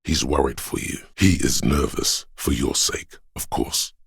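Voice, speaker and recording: A man playing a solemn inner voice that narrates a police video game in a measured, literary way, deep and gravelly. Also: dry recording, studio quality, full character voice. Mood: grave, watchful. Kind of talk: literary second-person scripted narration.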